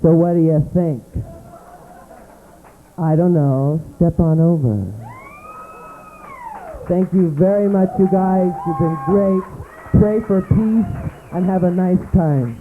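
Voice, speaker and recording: Stand-up comic, male, high voice, slow and campy, tinny club recording with hum. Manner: campy, dramatic delivery with sudden swoops into low voice. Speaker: stand-up comedian. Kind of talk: stand-up comedy set. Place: small comedy club.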